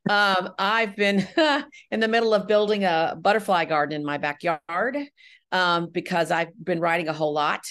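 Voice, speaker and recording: A woman, a writer-director appearing as a podcast guest, chatting casually in a halting, self-deprecating way. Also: fairly low pitch, steady tone, fairly bright tone. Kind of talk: casual chat.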